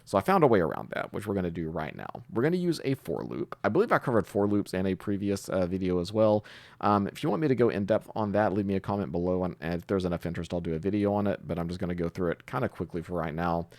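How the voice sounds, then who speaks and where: A man giving a casual screencast coding tutorial, talking haltingly as he thinks aloud while typing. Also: low voice, lively intonation, no room sound. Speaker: programming tutorial host.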